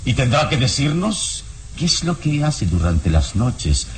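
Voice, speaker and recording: Deep heroic investigator in an old radio serial, grave n commanding, big swings in pitch, bit of noise. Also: male voice, big pitch swings. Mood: grave, accusing. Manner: deliberate, authoritative interrogator with dramatic pauses. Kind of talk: radio drama acting.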